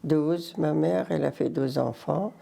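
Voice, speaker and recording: An older Berber-speaking woman from Morocco and Algeria with a North African accent, telling her life story in halting French mixed with Arabic. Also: low voice, creaky, dark tone, slightly rough. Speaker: interviewee, grandmother. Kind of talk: casual life-story interview.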